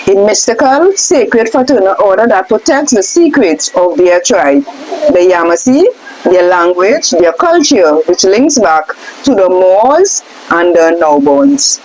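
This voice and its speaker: A woman's voice: mid-range voice, low-quality recording. Female reader, mid-range voice with very varied intonation, occasionally restarts a phrase, slight hiss on the recording. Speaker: reader in a question-and-answer scroll reading. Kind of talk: reading aloud from a book. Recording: faint hiss in background.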